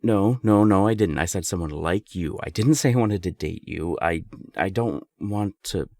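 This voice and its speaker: Man playing a young serial-dater friend for audio roleplay: low gravelly voice, candid, emphatic, secretly smitten. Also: normal speed, darkish tone. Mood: flustered denial. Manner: conversational, hesitant, emphatic swearing. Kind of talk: intimate audio roleplay acting.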